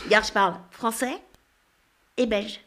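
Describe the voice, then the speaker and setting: A woman's voice: medium pitch, steady tone, a little slow, a bit bright. A woman guest on a radio show, joking and mocking herself while laughing. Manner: self-mocking, laughing, jokey. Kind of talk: joking chat. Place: radio studio.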